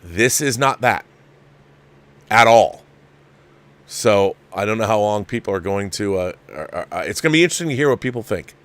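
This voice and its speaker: A man's voice: on the low side, expressive, normal speed, pauses often, a bit bright. A man hosting a live movie review show, talking casually and emphatically in a rambling way, repeating phrases to press his point. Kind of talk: casual livestream film commentary, reading viewer messages.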